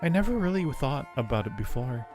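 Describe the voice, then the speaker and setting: A man's voice: medium pitch, big pitch swings, a little fast, natural voice. A man voicing a lovestruck undead acolyte from a horror game, narrating with earnest, brooding resignation. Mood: brooding, resigned. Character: devoted undead cave acolyte, fatalistic and lovestruck. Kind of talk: dramatic reading of game narration. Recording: music behind the voice.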